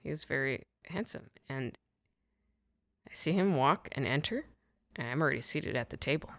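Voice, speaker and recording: A woman comedian guest with a deep voice, telling a rambling, giggly story in casual chat. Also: expressive, moderate pace, vocal fry, a bit bright. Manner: giggly, rambling, self-correcting.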